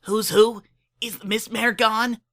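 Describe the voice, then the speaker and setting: A man's voice: high voice, little pitch movement, a little slow, fairly bright tone, voice pushed up. A man voicing a brash young filly with childlike bravado over her fear, in a story. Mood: scared.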